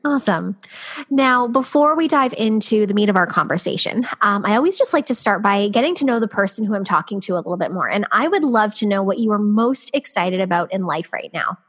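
Casting: woman podcast host, scratchy voice, bubbly and chatty, mid pitch. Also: animated, brisk, fairly bright tone. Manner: upbeat, chatty, lots of fillers. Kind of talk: casual podcast intro and interview.

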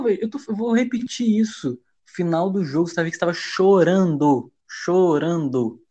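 A man from Brazil hosting a casual football podcast, talking in heavy slang with frequent swearing and rising to loud, agitated rants. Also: sits high. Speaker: podcast host. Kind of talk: heated casual football chat.

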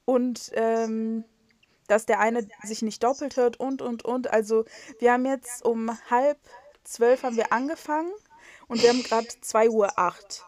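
A woman's voice: fairly high pitch, fairly even intonation, unhurried, a little mellow. A young woman from western Germany hosting a casual podcast over a video call, rambling and self-mocking, with lots of fillers and restarts. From North Rhine-Westphalia, Germany. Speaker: podcast co-host. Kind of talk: casual chat. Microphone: video call recording.

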